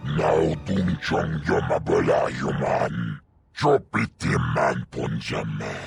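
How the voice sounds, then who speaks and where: A massive, menacing alien creature from a video game. The voice is extremely deep and guttural, full of gravelly creak. It growls out an alien tongue slowly and heavily, with faint music behind. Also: male voice, noisy background, heavily acted.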